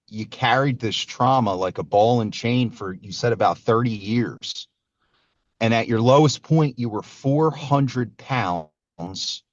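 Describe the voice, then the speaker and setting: A man's voice: fairly low pitch, dry recording. A man hosts a podcast over a remote video call, speaking earnestly and calling his guest man and brother. His voice sits in a dry, close sound with a slightly dull, lo-fi tone. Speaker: podcast host. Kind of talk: casual interview intro.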